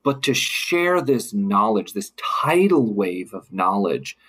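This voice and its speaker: A man speaking as a guest in a conversational podcast discussion, reflective and emphatic, with halting phrasing. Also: fairly low pitch, very animated, fairly bright tone, slightly rough. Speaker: guest, city council member.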